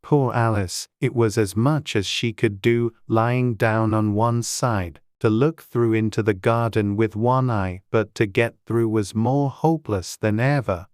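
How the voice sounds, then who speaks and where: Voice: medium pitch, fairly even intonation, unhurried, few pauses, darkish tone, no echo, clean studio sound. A narrator reading a children's storybook as a scripted audiobook.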